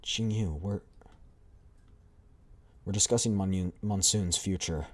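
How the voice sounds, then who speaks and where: A man with a deep voice voicing a gentle, worried older-sister soldier who speaks in a soothing, subdued way. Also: slow.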